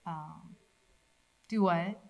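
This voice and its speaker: A woman's voice: on the low side, extremely slow, creaky, highs cut off. A woman at a college event reading her poems aloud in a declamatory, rising voice, with casual remarks to the audience in between. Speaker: poet reading her own work. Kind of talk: spoken-word poetry reading with asides.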